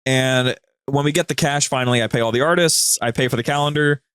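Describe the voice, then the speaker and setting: A man's voice: medium pitch, a little fast, fairly bright tone, clear, resonant tone, no room sound. A man chatting casually as a podcast guest, telling stories in an informal, rambling, slangy way. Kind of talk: casual, anecdotal chat.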